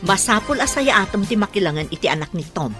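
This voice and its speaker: A woman's voice: medium pitch, lively intonation, fast, over music, natural voice. A woman playing a greedy, pushy middle-aged mother in an audio drama, theatrical and conniving.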